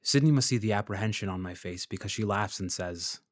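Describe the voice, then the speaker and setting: An actor playing a polite, measured freelance journalist who narrates his investigation in a fiction podcast. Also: on the low side, dry recording, studio quality, lightly acted. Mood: somber, observant. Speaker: narrator and lead character.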